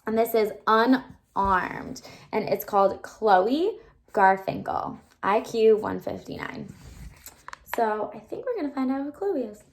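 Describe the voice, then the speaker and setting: A woman reading a children's novel aloud like a teacher, with animated storytelling and asides to explain or wonder. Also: a little high, unhurried. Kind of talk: expressive children's book read-aloud.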